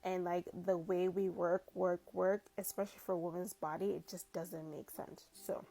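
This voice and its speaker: Woman, 40s, from Cameroon, doctor doing a casual advice vlog; low, gravelly voice, relaxed and chatty, earphone mic. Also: moderate pace. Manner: relaxed and conversational, with frequent likes and asides. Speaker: physician. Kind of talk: casual advice video answering a viewer question. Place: small indoor room. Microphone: wired earphone mic.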